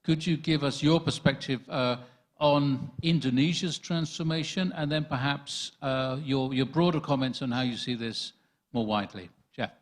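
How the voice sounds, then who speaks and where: A man's voice: medium pitch, normal speed. A man moderating a panel, thanking one speaker and politely handing over to the next with some hesitant repeats. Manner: courteous, hesitant with repeated words.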